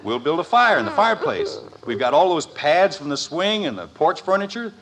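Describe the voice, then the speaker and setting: Blustery, high-pitched American radio dad. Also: male voice, sing-song, a little fast, a crowd in the background. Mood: persuasive, enthusiastic. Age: middle-aged. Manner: blustery, oratorical. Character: exasperated, blustering suburban father who talks up adventure. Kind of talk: 1950s radio sitcom acting. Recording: old noisy radio recording.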